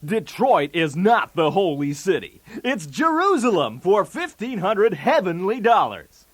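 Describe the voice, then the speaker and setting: High-pitched, swooping game show host. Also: male voice, very animated, a little slow, slightly rough, heavily acted. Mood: showy, wisecracking. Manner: showy, jokey, with punchy delivery of gags. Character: brash wisecracking male TV game show host. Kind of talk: 1980s game show patter.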